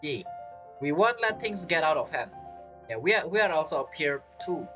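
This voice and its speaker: A woman with a low voice streams a game in casual style. Her intonation swings widely as she comments and reacts. Game music plays behind her. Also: very animated, moderate pace, a bit bright.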